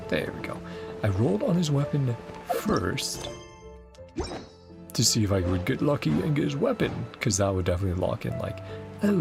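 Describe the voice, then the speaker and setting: A man's voice: mid-range voice, creaky. A man rambling casually as he streams a game and reacts to it. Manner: rambling, chatty.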